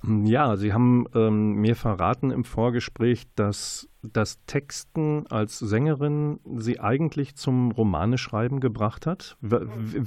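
A man's voice: medium pitch, a little mellow, no room sound, studio quality. A man hosting a conversational radio interview, addressing his guest politely and formally.

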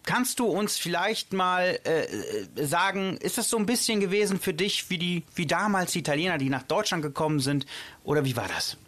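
A man's voice: sits high, lively intonation, moderate pace, a bit bright. A man co-hosting a casual talk show, chatty and enthusiastic, asking long rambling questions. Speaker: co-host.

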